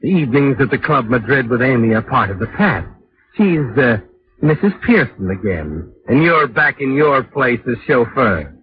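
A man performs an all-knowing, mocking narrator of a vintage radio thriller. His mid-range voice is ominous and dramatic. The recording is dull, with clear music underneath. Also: medium pitch, very animated, moderate pace, lightly acted. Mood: taunting, ominous. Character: taunting, ominous all-knowing male storyteller. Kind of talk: dramatic second-person 1940s radio narration.

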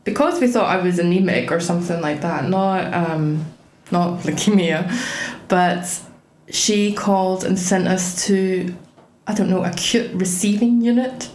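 A woman's voice: lowish voice, a little slow, a little mellow. A young woman, a podcast guest telling her story in a wry, chatty way. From Scotland. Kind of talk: casual chat. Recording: echoey room with faint background noise.

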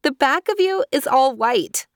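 A woman voicing an outspoken, curious little girl in a children's story, frank and quick to reprove. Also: high voice, expressive, no room sound, clean studio sound, voice pushed up. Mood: amused. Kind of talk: children's storybook character reading.

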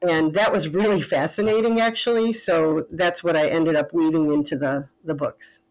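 Thoughtful woman author, measured talk. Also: deep voice, fairly even intonation, darkish tone, rough recording. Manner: thoughtful, measured, some fillers. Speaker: author guest. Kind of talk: casual interview chat.

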